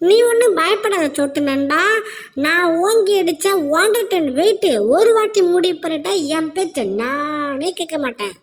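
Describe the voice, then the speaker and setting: A very high-pitched cartoon boy who brags and clowns in mock-heroic movie lines, as a woman voices him. He talks big and playful. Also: animated, normal speed. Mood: boastful. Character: boastful boy sidekick Rolex, clowning hero talk. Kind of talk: Tamil cartoon voice acting.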